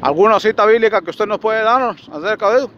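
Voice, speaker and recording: Man, interviewer, friendly, higher pitch, outdoors, own phone, dull, faint traffic. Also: fast talker. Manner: friendly, asks question after question. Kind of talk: casual street interview. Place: outdoor parking lot by busy road. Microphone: phone held in his own hand.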